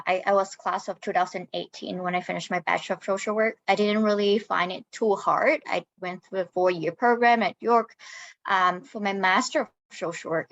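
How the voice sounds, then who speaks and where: A woman's voice: mid-range voice, fairly bright tone, dry recording, highs cut off. A young woman, talking casually as a guest speaker on a panel. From Hong Kong. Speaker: guest speaker, social worker.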